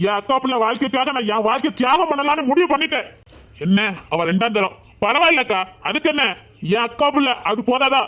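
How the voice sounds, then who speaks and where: A man's voice: very high-pitched, bright, forceful tone. Man playing a meek, earnest younger brother. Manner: earnest, self-sacrificing, addressing his sister. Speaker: skit actor. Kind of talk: village comedy skit.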